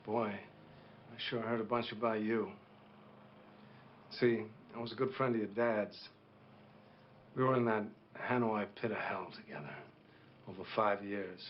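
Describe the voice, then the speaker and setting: Casting: a man as a solemn middle-aged war veteran officer, measured delivery, lowish voice, dull lo-fi recording. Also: steady tone, slight background noise, lightly acted. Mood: earnest. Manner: measured, solemn storytelling to a child. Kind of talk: dramatic monologue performance.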